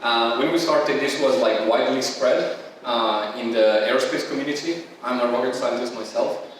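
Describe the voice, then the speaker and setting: A man's voice: mid-range voice, moderate pace. Rambling man speaking in an echoey hall. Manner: rambling, heavy use of "like" and "uh". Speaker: founder, event organizer. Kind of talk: welcome speech. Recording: echoey hall, distant mic, faint hum.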